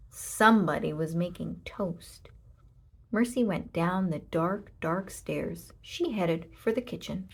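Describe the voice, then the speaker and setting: A woman narrating a children's storybook aloud, with expressive, deliberate delivery and emphasis on short sentences. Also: mid-range voice, husky. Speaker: storybook reader.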